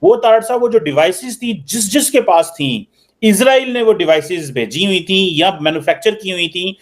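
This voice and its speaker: A man's voice: high-pitched, animated, moderate pace. A man with a Pakistani Punjabi accent hosting a political discussion, repeating his phrases as he questions his guest. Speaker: interviewer, show host. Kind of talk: animated political discussion in casual talk.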